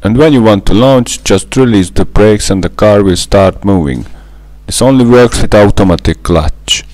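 Deep-voiced Hungarian-accented man explaining. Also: normal speed, darkish tone, dry recording. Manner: careful, methodical explaining. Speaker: gaming tutorial narrator. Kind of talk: scripted gameplay voice-over.

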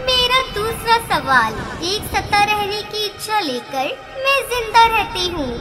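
Menacing female ghost bird, acted by a woman, cartoon, very high childlike pitch, slow threatening rhymes, loud music behind. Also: big pitch swings, exaggerated voice. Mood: taunting, imperious. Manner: slow, rhyming, threatening delivery. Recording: loud background music under voice.

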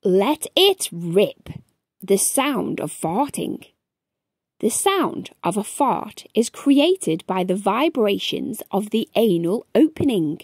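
A young adult woman reading a children's book aloud as storytime host, expressive and deliberate, speaking to young children. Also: medium pitch, breathy edge, no echo, clean studio sound. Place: small furnished room indoors.

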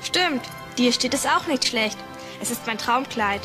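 High-pitched, spoiled young starlet. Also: female voice, lively intonation, a little slow, music in the background. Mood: haughty. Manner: whiny, haughty. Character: spoiled, bossy young film star girl. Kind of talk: German children's TV drama.

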